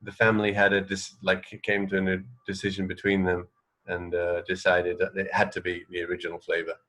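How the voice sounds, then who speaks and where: A man with a fairly low voice co-hosts a casual radio show. He reads out bits of trivia haltingly, pausing and restarting as he thinks aloud. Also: completely flat delivery, bright, forceful tone, poor audio. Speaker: radio co-host. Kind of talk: casual banter, reading out trivia.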